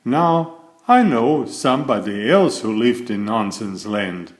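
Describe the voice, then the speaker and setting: Slow, animated man reading a bedtime story. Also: lowish voice. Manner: expressive, addresses the listener with questions. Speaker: storybook reader. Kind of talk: read-aloud children's bedtime story narration.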